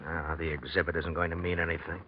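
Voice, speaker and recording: An actor playing an uneasy, struggling painter in a 1940s radio drama, hesitant and earnest in delivery. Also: male voice, low-pitched, level tone, quick pace, slight background noise, lightly acted. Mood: tender, discouraged. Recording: muffled old radio recording.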